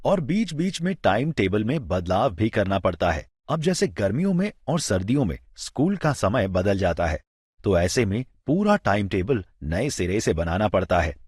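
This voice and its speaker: A man's voice: fairly low pitch, big pitch swings, moderate pace, darkish tone, breathy edge, dry recording, clean studio sound. A man playing a good-humoured, curious and agreeable father in an educational drama, speaking expressively. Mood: agreeable, thoughtful. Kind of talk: scripted educational audio drama dialogue.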